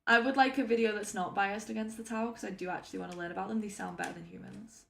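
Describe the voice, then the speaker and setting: Woman host for a reaction vlog: crisp, bright voice, roomy echo, playful and chatty. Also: mid-range voice, steady tone, fast talker. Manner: chatty, playful, wanders between hype and mumbled asides. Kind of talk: casual reaction chat. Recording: roomy echoey room.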